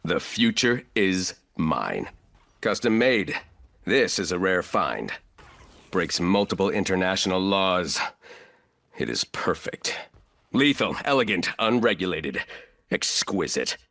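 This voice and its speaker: Imperious, sharp-voiced corporate villain. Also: male voice, low-pitched, big pitch swings, slow pace, gravelly, long pauses, exaggerated voice. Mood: smug satisfaction. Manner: imperious, clipped, condescending. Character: arrogant, ruthless corporate villain operator, cold and calculating man. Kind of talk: video game character voice lines.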